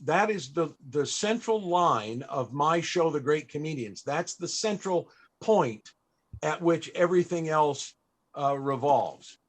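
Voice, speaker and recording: Panel interviewer: man, slightly high voice, measured, emphatic delivery in a conversational register. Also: lively intonation.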